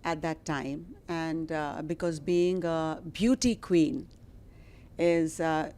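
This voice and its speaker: A woman hosting a casual interview, speaking in a halting, familiar, chatty way. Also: sits low, dark tone. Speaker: interview host.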